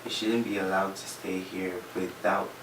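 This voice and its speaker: A man's voice: deep voice, level tone, normal speed. A man being interviewed, giving his opinion hesitantly with many fillers. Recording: distant mic, echoey room, background noise.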